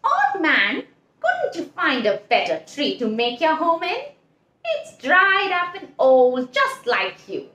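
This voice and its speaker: Lady doing a snotty young owl for a kids story, bragging and teasing, slow, echoey. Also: high-pitched, huge pitch swings, a bit bright, full character voice. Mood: smug teasing. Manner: taunting, drawn-out bragging. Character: wicked, mocking young owls, smug and boastful.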